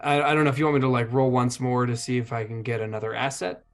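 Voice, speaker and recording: A man's voice: medium pitch, level tone, fast talker, faint music behind. A man playing a tabletop roleplaying game, improvising in character and casually discussing play.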